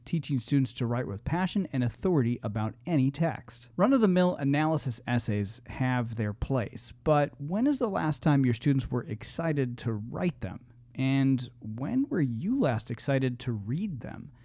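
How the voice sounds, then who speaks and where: Mellow-voiced man introducing a podcast over music. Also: mid-range voice, no room sound. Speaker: podcast host.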